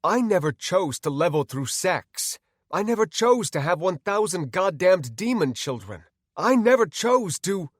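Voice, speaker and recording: A man's voice: mid-range voice, animated, normal speed, few pauses, dry recording, clean studio sound, lightly acted. A man voicing a defiant, anguished, foul-mouthed gamer hero in an audiobook, vehement in manner. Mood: indignant. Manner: vehement, swearing.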